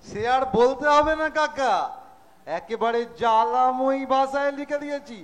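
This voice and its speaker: A man performs a mischievous young nephew in an amateur stage drama. He is glib and teasing, unusually high in pitch. Sound carries with the reverb of a hall. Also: moderate pace. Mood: showy, proud. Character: mischievous, cheeky nephew of about twenty-five. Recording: echoing school hall stage.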